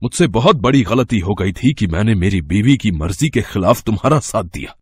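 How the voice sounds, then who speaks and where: An actor playing a middle-aged father-in-law in a cartoon, quick-tempered but fair, husky and emphatic. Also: fairly low pitch, animated, no room sound. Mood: angry.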